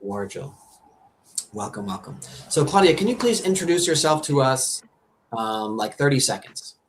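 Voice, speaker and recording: Man, host, mid-pitched voice, upbeat and chatty, faint hiss behind, video call. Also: big pitch swings, moderate pace. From America. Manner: upbeat, chatty, repeats words. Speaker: host, ESL teacher and music producer. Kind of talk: live webinar hosting.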